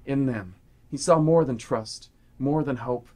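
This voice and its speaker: A man's voice: medium pitch, unhurried, a little mellow. Man narrating a dramatic adventure story. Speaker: radio play narrator.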